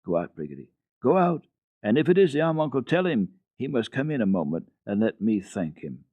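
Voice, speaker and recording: A man's voice: mid-range voice, big pitch swings, fairly quick, dry recording, voice pushed up. A man voicing a frail old blind grandmother, exclaiming and trembling with anxiety and joy in a storybook reading. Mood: excited. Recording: dull archival radio tape.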